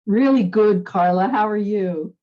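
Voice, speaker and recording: A woman's voice: mid-range voice, unhurried, dull audio. A middle-aged woman from French Canada and the United States, a psychologist speaking in a casual panel chat, rambling and correcting herself. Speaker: psychologist guest.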